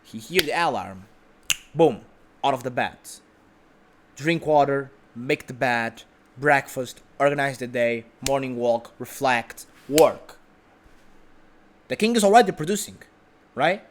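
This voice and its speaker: A man's voice: mid-range voice, lively intonation, measured pace, pauses often, slight background noise. A man who is a non-native English speaker, delivering an informal, unscripted motivational monologue as a host, emphatic and repetitive. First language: not English.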